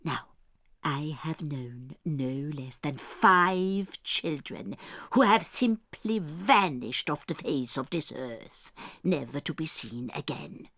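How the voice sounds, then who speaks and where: An actress playing a doting, gravely dramatic old Norwegian grandmother in an audiobook drama, telling things with theatrical emphasis. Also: deep voice, animated, fairly bright tone, no echo, studio quality, exaggerated voice. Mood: grave, conspiratorial. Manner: theatrical, emphatic, slow and deliberate storytelling.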